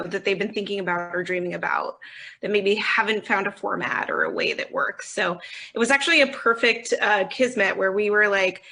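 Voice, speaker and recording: A woman speaks as an executive on a panel, in a conversational style. Her mid-pitched voice is bright and forward, with plenty of vocal fry. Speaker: audio company executive on a panel. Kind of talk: conversational interview answers. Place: remote video call.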